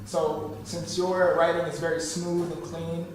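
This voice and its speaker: Male audience questioner, slightly high voice, casual, heavily echoing distant pickup. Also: level tone, measured pace. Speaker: audience member asking a question. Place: indoor room at a table read event. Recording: distant, very echoey, faintly noisy room.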